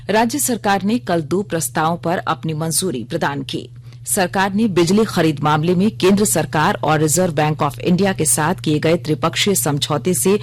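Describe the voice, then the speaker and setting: A woman reading a scripted radio news bulletin in a formal, measured manner. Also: sits low, slight background noise.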